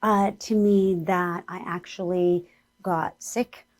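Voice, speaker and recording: A woman with a hoarse voice leading a gentle, earnest guided spiritual exercise as a spiritual teacher. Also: lowish voice, steady tone. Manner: slow, gentle and soothing guidance; earnest, speaks about Spirit and angels.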